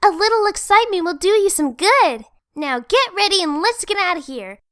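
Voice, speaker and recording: Tiny sweet girl pony voice, super high n bright, earnest and excitable cartoon dub. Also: normal speed. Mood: excited, cheerful. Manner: earnest, expressive cartoon delivery. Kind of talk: cartoon-style comic dub acting.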